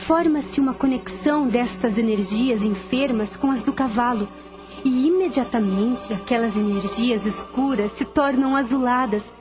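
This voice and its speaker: Serene female spirit teacher, played by an actress, Brazilian, formal, instructive radio-drama delivery, fairly high register, dull audio. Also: close to an everyday voice. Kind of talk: radio drama acting, didactic explanation. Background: music.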